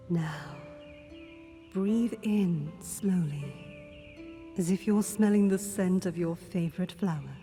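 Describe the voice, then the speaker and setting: A woman playing a calm, mysterious spirit guide in an audio drama, speaking in a soothing, measured way. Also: fairly low pitch. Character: calm mystical female spirit guide in a dream. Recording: long reverb under music.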